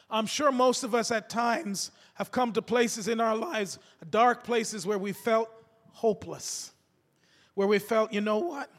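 A man preaching a sermon to his congregation, his voice gravelly from a cold, fervent and calling out to the listeners. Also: high-pitched. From United States. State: has a cold. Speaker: pastor. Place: church sanctuary. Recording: echoey hall reverb.

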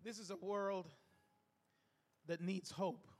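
A man preaching a sermon to his congregation, his voice gravelly from a cold, fervent and calling out to the listeners. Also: sits high, fairly even intonation, takes it slowly. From United States. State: has a cold. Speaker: pastor. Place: church sanctuary. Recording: echoey hall reverb.

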